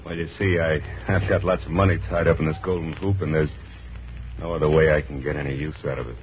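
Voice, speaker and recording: An actor playing an earnest, awkward suitor with a halting manner in a 1940s radio drama, on a thin, noisy recording. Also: male voice, extremely low pitch, steady tone, phone-quality sound. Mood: bashful, nervous. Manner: halting, bashful.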